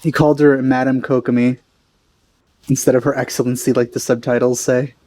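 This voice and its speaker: A man giving casual gameplay commentary, hesitant and rambling as he thinks out loud. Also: medium pitch, little pitch movement, brisk. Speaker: let's player. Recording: game music behind voice. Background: video game music.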